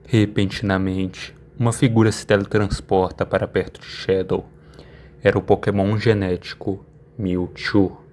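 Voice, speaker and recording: A man's voice: sits low, level tone, unhurried, darkish tone, slight background noise. A man with a Brazilian accent reading dramatic scripted narration.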